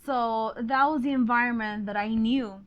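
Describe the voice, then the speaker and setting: Woman, 40s, from Honduras, interview guest, laughs often, fairly high voice, slightly dull lo-fi sound. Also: fairly even intonation, normal speed. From Honduras, grew up in Miami. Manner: warm, laughs often, some fillers. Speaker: guest, real estate agent. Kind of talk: casual interview chat.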